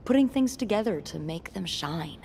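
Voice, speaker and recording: A woman's voice: mid-range voice, expressive, moderate pace, vocal fry, darkish tone, slight background noise. An actress voicing a wry, playful, self-assured young woman with a teasing manner in a naturalistic video game scene. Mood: playful, candid.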